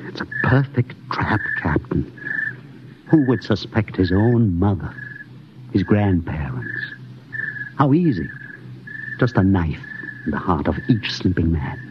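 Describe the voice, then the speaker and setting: An actor playing a haunted, suspicious expedition doctor in a 1950s radio drama, with intense, deliberate dramatic phrasing. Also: male voice, deep voice, sing-song. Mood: ominous. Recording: noisy, dull 1950s radio recording.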